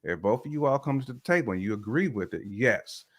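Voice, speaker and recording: A man's voice: mid-range voice, animated, a little fast. A man chatting casually and colloquially, speaking emphatically and repeating phrases to make his point. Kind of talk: casual chat.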